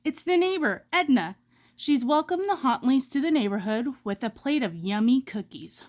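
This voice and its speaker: A woman reading a children's storybook aloud in an expressive, dramatic way. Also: high voice, moderate pace. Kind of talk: animated storybook read-aloud.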